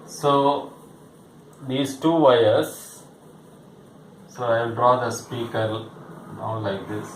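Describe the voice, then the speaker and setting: A man teaching an explanatory demonstration lesson as a physics teacher, halting and pausing, often repeating his phrases. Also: mid-range voice. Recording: echoey room with faint background noise.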